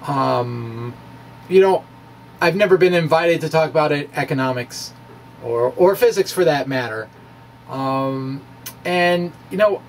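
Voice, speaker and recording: A man giving an informal explanatory physics monologue in a halting, self-deprecating, conversational way. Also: on the high side, far from the mic, a little hiss or hum. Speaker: amateur physics video blogger.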